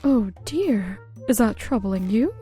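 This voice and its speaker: Woman playing kind mom in manga dub, high soft mellow voice, soothing n encouraging, reasonable. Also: very animated, takes it slowly, faint music behind. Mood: gently reassuring. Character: gentle, encouraging mother advising her grown daughter.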